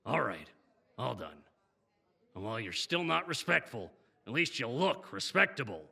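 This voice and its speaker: An actor playing a blustery, gruff newspaper boss with a raspy voice and a brash, emphatic manner in a comic dub. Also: male voice, mid-range voice, sing-song, normal speed, pushed and bright, exaggerated voice. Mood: gruff, fatherly.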